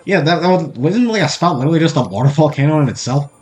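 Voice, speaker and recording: A young man with a Boston accent talks through a game in loose, rambling commentary, often correcting himself. His voice sits fairly high for a man. Soft game music plays underneath. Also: lively intonation, fast talker. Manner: rambling, self-correcting chatter. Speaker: let's play host. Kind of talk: casual gameplay commentary.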